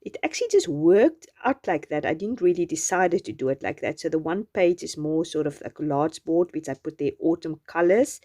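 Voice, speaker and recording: Mellow-voiced South African woman. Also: low-pitched, lively intonation, moderate pace, hardly any pauses. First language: Afrikaans. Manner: gentle, chatty, meandering with restarts. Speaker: journaling channel host. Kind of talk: voice-over narration of a craft journal.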